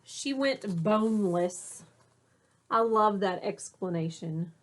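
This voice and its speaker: A woman teacher reading a picture book aloud to children, pausing for simple explanatory asides. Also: mid-range voice, lively intonation.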